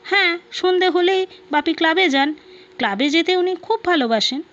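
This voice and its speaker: A woman's voice: very high voice, lively intonation, normal speed, fairly bright tone, voice pushed up. A woman storyteller voicing a curious, easily flustered young woman with a questioning manner. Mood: surprised. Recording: dull lo-fi sound with music behind.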